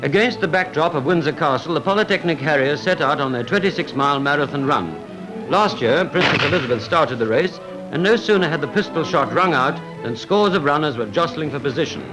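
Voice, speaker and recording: A man's voice: fairly high pitch, lively intonation, brisk, low-quality recording. A man with a Received Pronunciation British accent reads scripted 1940s newsreel narration in a formal, wryly humorous manner. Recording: vintage 1940s film soundtrack with music. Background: background music.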